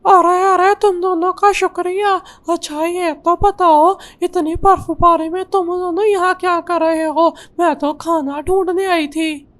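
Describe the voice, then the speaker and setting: Woman playing female cartoon eagle, very high voice, slow, bossy, fierce, assertive. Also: fairly even intonation. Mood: grateful, curious. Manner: assertive, scolding. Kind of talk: Hindi cartoon voice acting.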